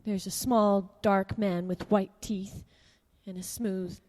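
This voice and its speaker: A woman's voice: medium pitch, steady tone, slow pace, darkish tone, big-room sound, close to an everyday voice. A woman playing a hesitant, pleading femme fatale. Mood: anxious. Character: treacherous young woman pleading with a detective.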